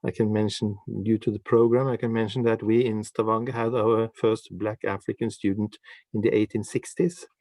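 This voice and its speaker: A man, a professor, introducing himself in a measured way with some fillers over a video call. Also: fairly low pitch, level tone, a little mellow, no echo, poor audio. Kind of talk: self-introduction in an online seminar.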